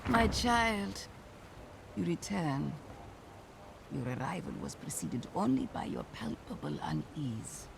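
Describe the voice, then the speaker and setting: Old wise elder, creaky and slow, kinda high for a man, solemn game voice. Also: very animated, exaggerated voice. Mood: grave, wise. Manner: slow, grave, ceremonial. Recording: noisy ambient background.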